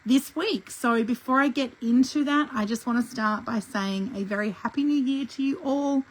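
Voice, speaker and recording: A middle-aged woman with a croaky voice from a lingering cough, teaching a live scrapbooking tutorial in a chatty way and clearing her throat often. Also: on the high side, normal speed, poor audio. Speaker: scrapbooking instructor.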